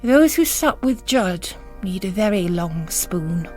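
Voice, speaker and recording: A woman's voice: mid-range voice, very animated, takes it slowly, a little mellow, faint music behind. Woman voicing a weary, dry male priest.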